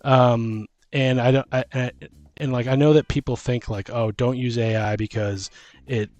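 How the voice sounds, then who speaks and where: A man's voice: mid-range voice, fairly even intonation, normal speed, darkish tone, dry recording. A middle-aged man chatting casually as a podcast host, rambling emphatically and often breaking off mid-thought. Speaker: podcast host and tabletop game master. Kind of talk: casual conversational chat.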